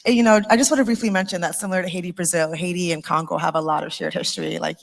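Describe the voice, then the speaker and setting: A woman moderating a live panel, posing questions in a measured way. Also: on the low side, steady tone, creaky.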